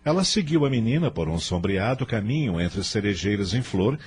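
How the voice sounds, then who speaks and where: A man's voice: fairly low pitch, big pitch swings, moderate pace, a little mellow, slightly rough. A man narrating a scripted radio drama in a dramatic storytelling style. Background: faint background music.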